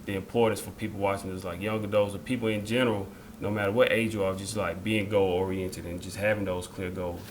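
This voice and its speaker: A man in his thirties hosting an interview, talking in a relaxed, conversational way that often restarts its phrases. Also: deep voice, fast talker, few pauses, a little hiss or hum. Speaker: interview host. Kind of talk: casual interview talk.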